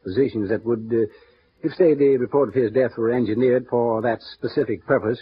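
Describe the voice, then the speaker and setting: An actor playing a smooth, guarded male insurance executive who speaks in measured, confiding tones, in a 1950s radio drama. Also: medium pitch, steady tone, close to an everyday voice. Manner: measured, confiding, halting with small pauses. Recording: muffled vintage radio broadcast with faint hiss.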